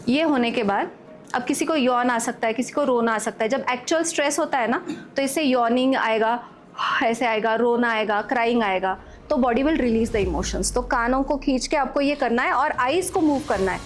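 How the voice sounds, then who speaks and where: A high-pitched woman in her forties runs a live wellness workshop for a group. She questions her listeners, walks them through exercises step by step, and slips English phrases into her talk. Faint music sits under her voice. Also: moderate pace. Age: 40s. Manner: lively, questions the audience and gives step-by-step instructions; mixes Hindi with English phrases. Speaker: wellness coach leading a training session.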